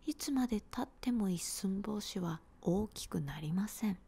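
A woman, giving a gentle, unhurried whispered ASMR storybook reading in a small room, close to a handheld recorder. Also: medium pitch, gravelly, slightly rough.

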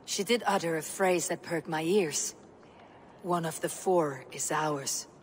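An actress playing a stern, blunt young Viking warrior woman in a video game, grave and deliberate. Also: sits low, slight background noise. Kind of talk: video game cutscene acting.

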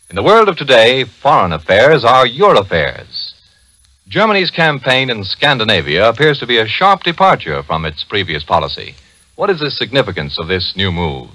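Bright, animated 1940s radio announcer man. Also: lowish voice, dry recording. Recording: dull 1940s radio broadcast recording.

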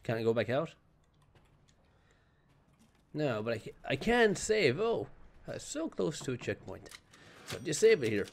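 A man in his thirties with a slightly raspy voice, talking on a headset mic as he plays a horror game and teases the characters. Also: medium pitch, sing-song, measured pace, long pauses. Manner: joking, teasing game characters. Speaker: gameplay streamer. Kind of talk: casual let's-play commentary.

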